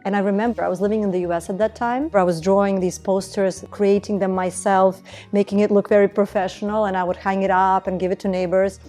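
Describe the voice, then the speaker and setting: Warm-voiced woman, earnest. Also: medium pitch, quick pace, soft background music. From Estonia. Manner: earnest, reflective, steady. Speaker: entrepreneur, beauty business founder. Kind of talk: documentary interview.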